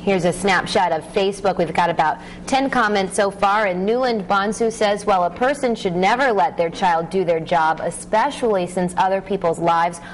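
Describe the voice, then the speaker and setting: A woman's voice: medium pitch, moderate pace, hardly any pauses, slight background noise, poor audio. A woman in her thirties presenting and reading out viewer comments in a television studio. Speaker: TV show web correspondent. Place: TV studio tech desk, standing.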